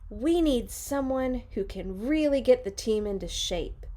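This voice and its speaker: A woman voicing excitable, earnest and cheeky schoolchildren in a storybook, with loud, emphatic delivery. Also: a little high, unhurried, voice pushed up. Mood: earnest, excited.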